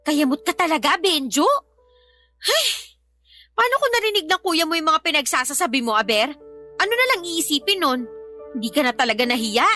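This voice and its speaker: A defiant young Filipina mother in a radio drama. Her voice is high and very animated, full of sarcasm and scolding. Faint music plays underneath. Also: a little fast, pauses often. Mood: exasperated scolding.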